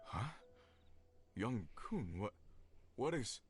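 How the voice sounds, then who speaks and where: A man's voice: medium pitch, sing-song, extremely slow, faint music behind. A man playing an earnest, polite leader of a search party in a video game.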